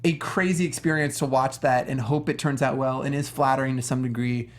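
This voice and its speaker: A man's voice: mid-range voice, steady tone, brisk, slight background noise. A man on a discussion panel, talking casually about films in an earnest, rambling, conversational way. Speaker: talk show panelist.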